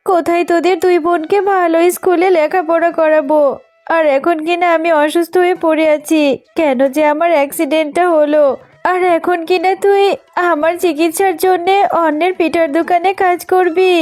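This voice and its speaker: Woman as a sorrowful, high-voiced ailing mother fox. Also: steady tone, moderate pace, darkish tone, soft background music. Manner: plaintive, lamenting. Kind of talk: children's cartoon voice acting.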